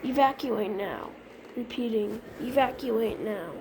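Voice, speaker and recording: A woman with a fairly high voice reads a scripted emergency announcement. Her delivery is halting, with words repeated here and there. Clear background noise runs through the recording. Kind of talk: scripted mock emergency alert narration.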